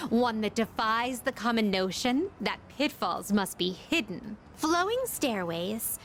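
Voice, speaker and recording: A woman playing an elegant, formal and naively curious young lady in a video game, speaking in ornate, precise words. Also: on the high side. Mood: earnest, solemn.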